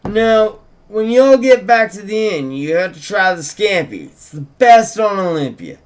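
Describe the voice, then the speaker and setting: A man plays an eager young small-town deputy in a drama table read. The voice sits high and speaks with polite, folksy deference. The manner is talkative and earnest. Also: very animated, unhurried. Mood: friendly, chatty. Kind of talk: script table read, in character.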